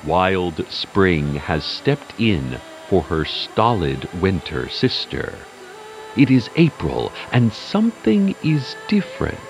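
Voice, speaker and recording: A man playing a solemn, poetic small-town radio host in an audio drama, speaking deliberately and portentously. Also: low voice, sing-song, faint music behind, exaggerated voice, poor audio. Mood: solemn, wondering.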